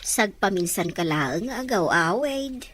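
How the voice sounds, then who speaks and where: A woman acting a provincial mother gently persuading her grown child, in an audio drama. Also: medium pitch, animated, slow. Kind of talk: Ilocano radio drama acting.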